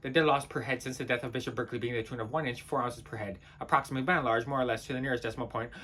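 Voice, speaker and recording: An actor as a wretched servant in an absurdist stage play, delivering a broken pseudo-scholarly tirade. The voice sits at a medium-to-high speaking pitch for theatre, declamatory and unstable. Phrases stammer and repeat, swinging between murmur and cry. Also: expressive, moderate pace, a bit bright, lightly acted. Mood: muttering, rambling.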